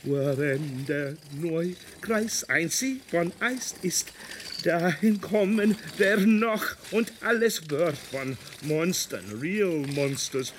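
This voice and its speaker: An actor playing a brooding radio announcer of hell in an experimental radio drama, speaking gravelly, halting fragments that switch between German and English. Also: fairly high pitch, animated, slight background noise. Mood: incantatory, intense. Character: brooding bilingual male announcer of a radio inferno.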